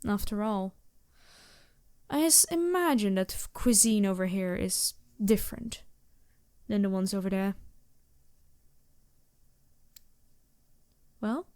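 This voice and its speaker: A woman voicing an empathetic, teasing demon princess in an ASMR roleplay, speaking to the listener in formal, measured phrases. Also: mid-range voice, a little mellow, smooth, close to an everyday voice. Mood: teasing, fond.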